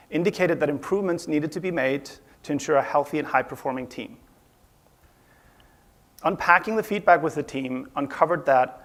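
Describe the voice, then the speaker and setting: A man from Iceland giving a measured, slightly formal conference talk about leading a design team. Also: on the high side, vocal fry. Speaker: conference speaker, studio UI/UX director. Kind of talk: presentation talk. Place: conference stage.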